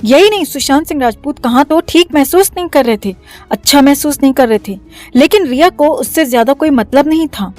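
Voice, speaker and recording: A woman narrates a scripted news commentary in a high-pitched voice. Faint music plays underneath her as she delivers the voice-over. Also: expressive, brisk, a little mellow. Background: background music.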